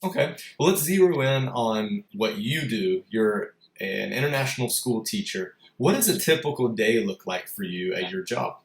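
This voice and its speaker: A man's voice: fairly low pitch, expressive, normal speed, few pauses. A man hosting an interview, putting conversational questions to a guest. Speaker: interviewer, host. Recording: roomy, echoing room sound.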